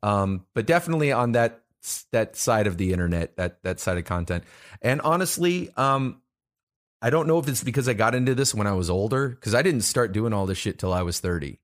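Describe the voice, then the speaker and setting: A man's voice: fairly low pitch, animated, a little fast. Rambling man in casual podcast chat. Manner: rambling, frequent restarts and fillers. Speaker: podcast co-host.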